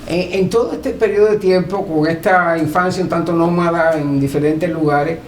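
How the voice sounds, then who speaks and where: A woman's voice: on the low side, steady tone, normal speed, a little mellow. A woman interviewing someone attentively, asking formal and polite questions. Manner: formal, polite, frequent backchannels. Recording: echoey room with faint noise.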